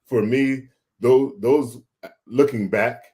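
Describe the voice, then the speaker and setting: A man talking casually and telling a personal story in a halting way, repeating words as he thinks aloud. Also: medium pitch, steady tone, mellow. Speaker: guest sharing a personal health story.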